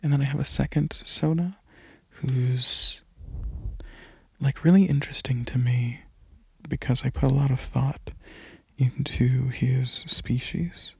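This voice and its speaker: Soft, very breathy man, slow ASMR ramble. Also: medium pitch, steady tone, dry recording, muffled recording. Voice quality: soft, breathy, often near whisper. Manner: hesitant, halting, informal. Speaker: ASMR channel creator.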